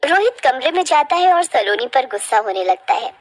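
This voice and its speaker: High-pitched woman narrating a story. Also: sing-song, moderate pace. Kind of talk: scripted moral-story narration.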